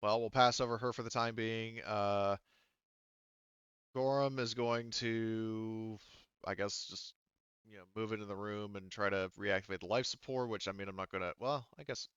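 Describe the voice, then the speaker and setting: A man's voice: lowish voice, a bit bright, clear, resonant tone, dry recording, muffled recording. A man speaking casually as a tabletop game master, thinking aloud hesitantly as he works through the rules.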